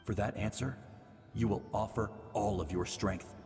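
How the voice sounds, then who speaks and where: An actor playing a grave male mentor in a video game, making solemn, deliberate ceremonial proclamations. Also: on the low side, steady tone, darkish tone, long reverb tail, faint music behind, close to an everyday voice. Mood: solemn, exhorting. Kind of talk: scripted video game voice acting.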